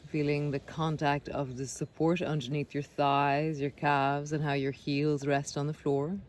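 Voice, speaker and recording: A man leading a guided body scan meditation, speaking gently and unhurriedly with pauses between phrases. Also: fairly high pitch, level tone. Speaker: meditation guide, breath coach. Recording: clear background noise of sea sounds. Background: sea sounds.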